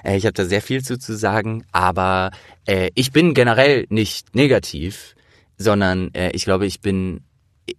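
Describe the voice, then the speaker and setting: Deep-voiced man talking earnestly, dry close sound. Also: normal speed, no echo. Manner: earnest, emphatic, colloquial with swearing. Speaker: interviewer and audio drama producer with acting training. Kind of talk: casual, opinionated conversation.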